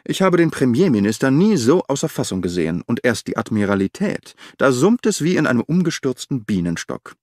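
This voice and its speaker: A man's voice: mid-range voice, big pitch swings, fairly quick, hardly any pauses, a little mellow, no echo, studio quality. A man doing grave, weighty Mycroft Holmes, imperious and heavyset.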